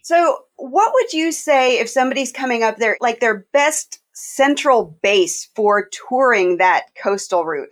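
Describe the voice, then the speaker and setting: Enthusiastic woman hosting a podcast. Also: on the high side. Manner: enthusiastic, asks open questions. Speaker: podcast host. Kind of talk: casual interview.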